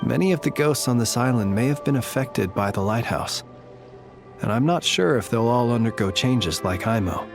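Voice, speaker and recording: A man voicing a solemn, gentle and courteous guardian of the dead in a video game, speaking formally and with reverence. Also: mid-range voice, lively intonation, normal speed, music in the background, natural voice.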